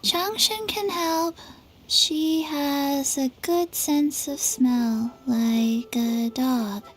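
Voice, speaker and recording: Very slow, deadpan little zombie girl. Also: high-pitched, soft background music, low-quality recording. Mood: matter-of-fact. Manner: deadpan, halting. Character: small undead zombie girl, flat and slow. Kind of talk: video game voice acting.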